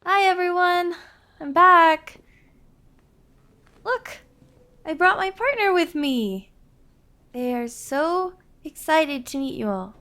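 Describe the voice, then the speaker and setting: A high-pitched young woman, played by a voice actress in an intimate audio roleplay. She is earnest and nervous, speaking slowly and hesitantly with a creaky edge, pleading to be believed. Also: fairly bright tone, full character voice. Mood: excited. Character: nervous, earnest young woman introducing her ghost family to partner.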